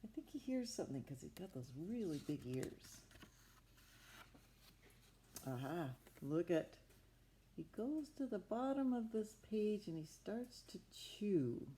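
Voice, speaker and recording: A woman's voice: mid-range voice, expressive, a little slow, pauses often, mellow, a little hiss or hum. A woman improvising a story from a picture book, talking expressively and with wonder, as if to young children. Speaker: storybook narrator.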